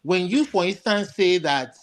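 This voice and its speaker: A man's voice: high voice, expressive, normal speed. A man on an online panel discussion who insists on being heard and repeats words to hold the floor. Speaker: panel participant.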